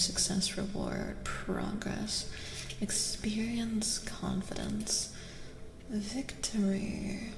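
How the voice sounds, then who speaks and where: Slow, soft-spoken woman with gravelly creak. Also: medium pitch. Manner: partly whispered, drawn-out delivery; informal, encouraging. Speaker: ASMR streamer giving tarot readings. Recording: reverberant echo, background hiss.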